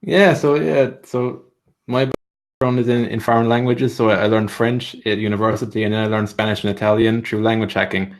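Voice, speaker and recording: A man's voice: on the low side, steady tone, a little fast. A man giving language-learning tips in a live training session, explaining steadily with frequent "so" and "like".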